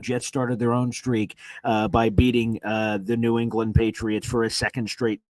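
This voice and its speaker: A man whose voice is nearly gone from screaming hosts a live sports reaction stream from a hotel room. He talks in an excited, rambling way, full of "uh"s and restarts. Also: mid-range voice, moderate pace, no room sound. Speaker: sports reporter and livestream host. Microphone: streaming setup on weak hotel wifi.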